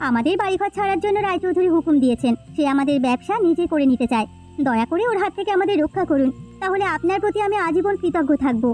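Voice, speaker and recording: Lady doing a sweet village wife, super high voice n fast, earnest cartoon folktale. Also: expressive, darkish tone, soft background music. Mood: earnest, hopeful. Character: kindly, concerned village housewife. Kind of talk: Bengali cartoon folktale voice acting.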